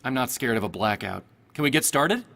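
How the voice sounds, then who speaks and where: Audio drama villainous executive: arrogant American boss, impatient, sharp-voiced, swinging widely in pitch. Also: male voice, lively intonation, fairly quick, gravelly. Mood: impatient, dismissive. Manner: curt, imperious, dismissive. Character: arrogant, impatient wealthy boss who hates being contradicted.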